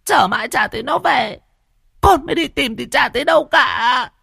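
A man voicing a stout, frantic village woman who wails and cries in a shrill, shouting voice. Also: sing-song, a little fast, no echo, studio quality, exaggerated voice. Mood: wailing. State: crying.

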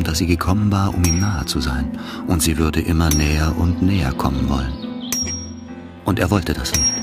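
Very deep male literary narrator. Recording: studio narration over loud music. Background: music.

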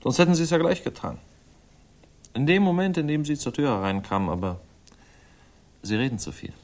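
An actor in a 1960s crime radio play, playing a cynical, guilty husband of an heiress with a measured, formal, self-mocking manner. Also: male voice, fairly high pitch, lightly acted. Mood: defiant.

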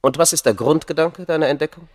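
An actor playing a scholar's sceptical friend, formal and probing, in a German radio play. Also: male voice, fairly high pitch, fast, lightly acted. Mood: probing, awed.

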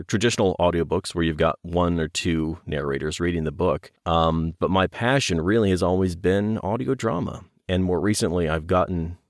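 A middle-aged man with a low-pitched voice, recorded close and dry. He talks in a relaxed, conversational way as an interview guest, with enthusiasm and some self-deprecating humor. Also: no room sound. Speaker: audiobook and audio drama producer, interview guest.

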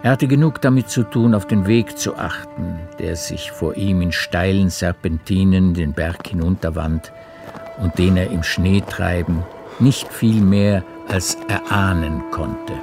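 A man narrates a literary radio play in a deep voice. He is measured and composed, with faint music running underneath his words. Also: vocal fry.

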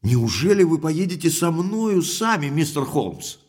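Young heir: hearty, impulsive, lively swooping delivery in a higher register, radio play. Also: male voice, measured pace, husky, full character voice. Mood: earnest. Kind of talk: audio drama acting.

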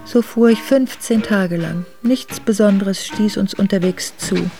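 A woman's voice: mid-range voice, measured pace, gravelly, dark tone, faint music behind. An actress playing a noblewoman who soberly recalls a hard winter journey in a radio drama. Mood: sober, reflective. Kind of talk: radio drama memoir narration.

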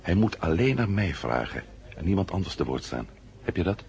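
Low-voiced, assured radio drama hero. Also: male voice, big pitch swings, a little fast, creaky, a little mellow, dull audio, slight background noise, exaggerated voice. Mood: urgent, friendly. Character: brisk, friendly male space-mission hero. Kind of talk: 1970 radio drama acting.

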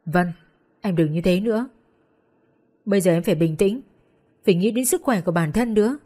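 A woman voicing a calm, firm young male doctor who explains things levelly. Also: medium pitch, normal speed, slightly rough, lightly acted.

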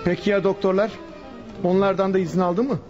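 Actor as stern male police guard, high voice, suspicious, challenging, radio drama, music underneath. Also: steady tone, brisk, natural voice. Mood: hostile, suspicious. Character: gruff, hostile, suspicious policeman guarding a patient. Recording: dull lo-fi radio recording.

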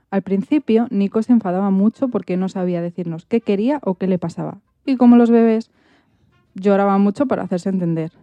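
A woman's voice: medium pitch, no room sound, dull audio. A woman, an author reading a personal story aloud.

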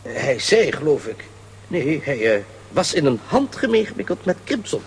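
A man's voice: fairly high pitch, sing-song, normal speed, a little hiss or hum. A man acting an absent-minded, fussy professor in a children's radio play, hesitant and forgetful. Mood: flustered.

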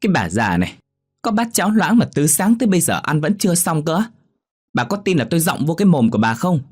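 A man's voice: sing-song, fast, husky, soft background music. A man voicing a cruel, abusive middle-aged daughter who screeches at her old mother. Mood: scornful. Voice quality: shrill, screeching.

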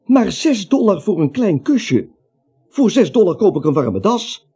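A man's voice: high-pitched, big pitch swings, a little slow, dark tone, husky, exaggerated voice. An actor playing a nervous, naive little everyman who rambles, hesitates and justifies himself in a comic radio play. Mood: hesitant, haggling. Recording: muffled old radio play, music behind.

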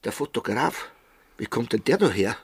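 An actor playing an excitable village man in a radio drama, with an agitated, folksy, husky delivery. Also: medium pitch, moderate pace, no room sound. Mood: alarmed.

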